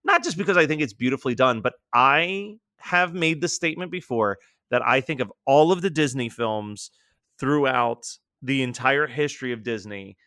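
A man's voice: medium pitch, moderate pace, bright, forceful tone, no room sound, clean studio sound. A man co-hosting a casual podcast, talking eagerly about songs and now and then singing lines. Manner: enthusiastic, breaks into singing show tunes. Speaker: podcast co-host. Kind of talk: casual chat.

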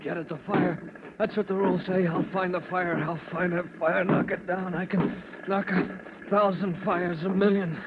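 An actor playing a cocky firefighter muddled by smoke in an old radio drama, boastful and muttering. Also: male voice, sits high, little pitch movement, normal speed, close to an everyday voice. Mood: delirious, rambling. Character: dazed, cocky young male firefighter, delirious from smoke. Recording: muffled, noisy old radio recording.

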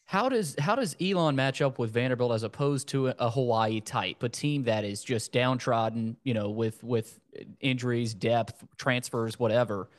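A man's voice: mid-range voice, moderate pace, few pauses. A man hosting a casual sports interview, talking in a wordy, roundabout way. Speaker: sports podcast host.

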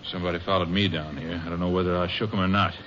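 An actor playing a cold, ruthless American criminal, giving terse, gruff orders in an old-time radio crime drama. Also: male voice, low voice, fairly even intonation, very fast, a bit bright. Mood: curt, impatient. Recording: muffled, very noisy old radio recording.

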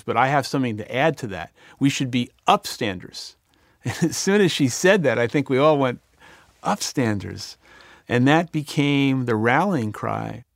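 A man's voice: medium pitch, gravelly, no room sound, clean studio sound. A middle-aged man speaking earnestly as an interview guest, with some fillers. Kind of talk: reflective conversation.

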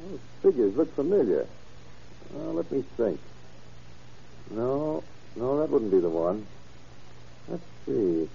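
An actor in an old-time radio crime drama playing a helpful, matter-of-fact townsman with a husky voice. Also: medium pitch, sing-song, slow pace, long pauses, a little hiss or hum, exaggerated voice. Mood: pondering. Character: helpful, matter-of-fact male townsman, deputy or bank cashier. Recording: muffled vintage radio drama recording.

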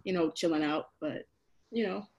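A woman in her thirties from Barbados, a chef guest telling stories in a casual, animated way with many fillers. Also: sits low, moderate pace. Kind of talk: casual interview chat.

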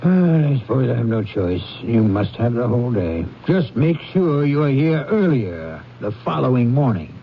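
An actor playing a bitter, miserly old businessman in a radio drama, gravelly, curt and contemptuous. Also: medium pitch, very animated, normal speed, hardly any pauses. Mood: grudging, stern. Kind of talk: 1970s radio drama acting. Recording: dull lo-fi 1970s radio recording.

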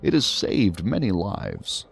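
An actor playing a talkative, learned psychiatrist who muses and explains at length in a science fiction audio drama. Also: male voice, low-pitched, wildly swooping pitch, slow, creaky, full character voice. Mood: mildly surprised. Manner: wordy, musing, explanatory. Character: male psychiatrist, talkative, learned, genially hedging.

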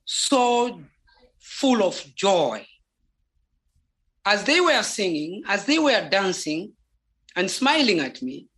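A native Bemba speaker with a Zambian accent giving a personal spiritual testimony in a halting, deliberate way, repeating words for emphasis. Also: medium pitch, bright, forceful tone, low-quality recording.

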